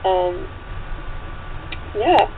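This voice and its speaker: A woman with a mid-pitched voice talks as a guest author in a relaxed interview. She sounds enthusiastic and conversational. Her audio is narrow and phone-like, with background noise. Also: very slow. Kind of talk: casual interview chat.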